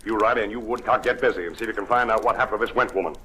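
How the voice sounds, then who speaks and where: An actor playing a stern, exasperated chief of detectives, brusque and sarcastic, in 1940s radio drama style. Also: male voice, fairly low pitch, rapid-fire, lightly acted. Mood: angry. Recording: noisy old radio recording.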